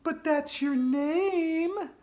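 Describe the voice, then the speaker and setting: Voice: extremely high pitch, extremely slow, a little mellow, voice pushed up, exaggerated voice. A reader voicing the spooky, cackling ghost of a young girl in a storybook read-aloud, drawing out her taunting words.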